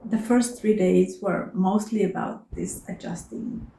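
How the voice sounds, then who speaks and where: A woman whose first language is Romanian, narrating her travels in a soft, earnest, contemplative way. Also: medium pitch, steady tone, a little slow, creaky, roomy sound, slight background noise, rough recording. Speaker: travel vlogger.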